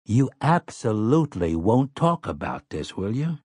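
A man's voice: lowish voice, very animated, measured pace, darkish tone, husky, no echo, clean studio sound, full character voice. A man voicing a wily, cheerful grown man who talks casually and conspiratorially in an audiobook. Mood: friendly.

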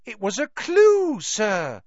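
A man voicing a small, devoted male house-elf servant in an audiobook, shrill and emphatic, speaking of himself in the third person. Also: wildly swooping pitch, takes it slowly, a little mellow, dry recording, highs cut off, full character voice. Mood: earnest. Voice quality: shrill, squealing.

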